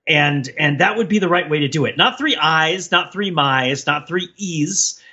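A man's voice: on the high side, lively intonation, unhurried, pushed and bright, slightly rough, muffled recording. A man co-hosting a podcast in casual comic banter, rambling off into mock-scholarly tangents. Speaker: podcast co-host. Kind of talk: casual comedic banter and riffing.

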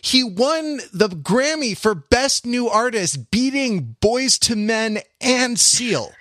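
A man's voice: very high-pitched, very animated, slow, a bit bright, no echo, clean studio sound. A man chatting casually as a podcast panelist, chipping in with bits of trivia. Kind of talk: casual chat, trivia interjections.

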